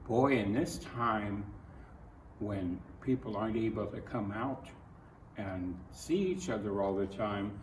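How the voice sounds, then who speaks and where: A man reading a picture book aloud to children, speaking in simple, deliberate phrases and putting questions to the listener. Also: fairly low pitch. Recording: roomy, distant mic, clearly noisy.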